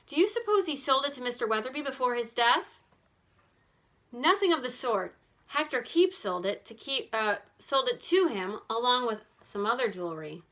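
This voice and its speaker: A woman voicing an eager, quick-thinking teenage girl detective in a children's mystery storybook, with an urgent, exclaiming manner. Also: on the high side, expressive, a bit bright, pitched above the performer's own voice. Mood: puzzled, suspicious.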